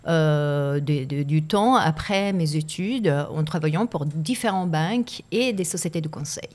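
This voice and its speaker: A woman's voice: sits low, unhurried, a little mellow. Italian woman speaking French in an interview. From Italy. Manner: reflective, with frequent hesitations and repeated words. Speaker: guest. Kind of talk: podcast interview conversation.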